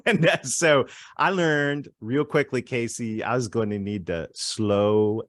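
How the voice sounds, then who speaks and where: A man's voice: medium pitch, slow pace, a bit bright, no room sound. A Canadian man from southwestern Ontario, a guest on a casual podcast interview, telling stories in an upbeat way and laughing often. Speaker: guest, growth strategist.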